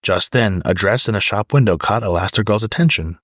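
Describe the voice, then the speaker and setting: Low-voiced man reading a children's story. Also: brisk, fairly bright tone, no room sound, studio quality. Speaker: storybook reader. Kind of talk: children's story read-aloud.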